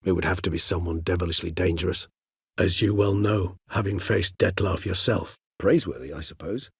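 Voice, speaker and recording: An ancient vampire gentleman for a video game, with a low, husky-edged voice in a very dry, close recording. He is courteous and erudite, choosing formal, measured words. A melancholy, philosophical wryness runs through his speech. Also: dry recording, full character voice. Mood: grave, then wry, thoughtful. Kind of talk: video game character dialogue.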